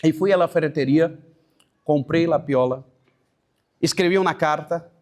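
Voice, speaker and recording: A pastor with a noticeably high voice speaks Spanish with a Brazilian Portuguese accent. He tells a personal testimony to a room of church leaders, halting and restarting and slipping in Portuguese words. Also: darkish tone.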